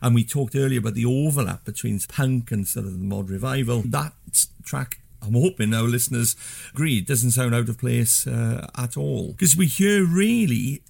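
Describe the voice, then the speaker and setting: A man's voice: mid-range voice, normal speed, creaky, few pauses, a little mellow. A man hosting a casual radio interview, talking in a rambling way with many fillers and restarts. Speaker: radio host.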